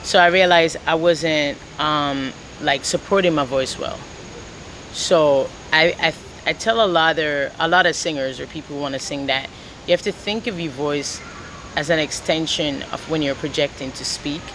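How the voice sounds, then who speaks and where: A woman's voice: deep voice, moderate pace, a little hiss or hum, low-quality recording. A woman from Jamaica, a singer, chatting casually in an interview with lots of "like" and "you know.". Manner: relaxed, rambling, with many "like" and "you know" fillers. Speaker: singer and guitarist guest.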